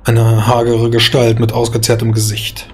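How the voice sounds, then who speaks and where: A man reading scripted dark fantasy narration as a storyteller, measured and solemn. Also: mid-range voice, completely flat delivery, soft background music. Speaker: storyteller, game master.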